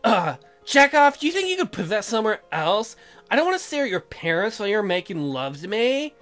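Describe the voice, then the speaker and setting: A man's voice: high voice, sing-song, a little fast, fairly bright tone, soft background music. A man voicing a touchy, defensive young newlywed wife in a visual novel, whiny and indignant. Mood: annoyed. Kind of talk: exaggerated visual novel dialogue reading.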